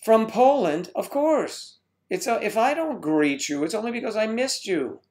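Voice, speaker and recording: A man's voice: sits high, expressive, moderate pace, a little mellow. Rambling man hosting a casual livestream. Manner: rambling, stumbles over viewers' names. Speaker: livestream host greeting chat viewers.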